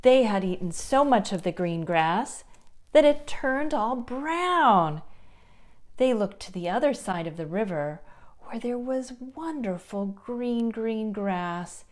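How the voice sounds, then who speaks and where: A woman telling a children's puppet-show story as narrator, with expressive, deliberate phrasing. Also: on the high side.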